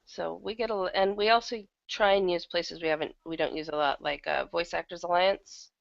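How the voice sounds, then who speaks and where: Low-voiced woman on a muffled online call. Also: steady tone, fairly quick, gravelly, no room sound. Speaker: guest, audio drama producer and casting director. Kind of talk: casual interview chat about their work. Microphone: computer microphone on an online call. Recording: dull lo-fi internet call, uneven volume.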